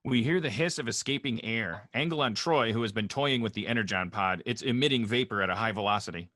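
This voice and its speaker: A man's voice: on the low side, quick pace, bright, forceful tone, dry recording, clean studio sound. A man narrating stage directions at a comedy table read over a video call, with a wry, joking manner.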